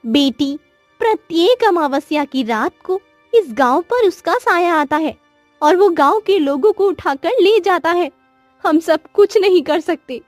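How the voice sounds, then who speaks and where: A woman acts out a kindly village auntie in a cartoon folk tale. Her high voice is grave, fearful and pleading, recorded very dry with faint music behind. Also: lively intonation, unhurried, no echo. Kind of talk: Hindi children's cartoon story acting.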